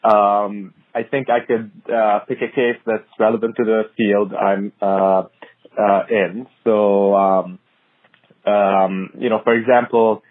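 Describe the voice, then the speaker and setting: A man's voice: lowish voice, little pitch movement, dry recording. Thoughtful man on a tinny line. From Syria. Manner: measured, thoughtful, many ums and pauses. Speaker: hematology-oncology fellow, podcast guest. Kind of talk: interview answers. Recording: tinny phone-like audio.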